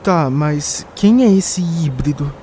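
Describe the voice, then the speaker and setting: Homem brasileiro de voz bem aguda, curioso, em atuação. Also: male voice, a little high, lively intonation, slow, mellow. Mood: puzzled, curious. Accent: Brazilian. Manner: inquisitive. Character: curious, questioning male companion of a Time Lord. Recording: faint background noise.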